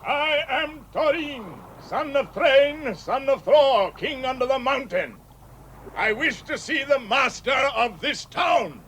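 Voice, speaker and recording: A proud dwarf king in an old radio play. The voice is high and forceful, full of grand, pompous declamation, with faint noise on the recording. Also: animated, slow pace, exaggerated voice, poor audio. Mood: imperious, proclaiming. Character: proud, pompous male dwarf king claiming his inheritance. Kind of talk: 1960s radio drama acting.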